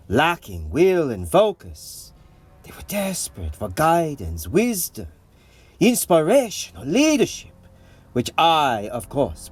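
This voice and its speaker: An actor playing an imperious, self-assured rebel warlord, speaking in a measured, grandiose way in an audio drama. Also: male voice, mid-range voice, breathy edge, exaggerated voice. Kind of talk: dramatic audio comic acting.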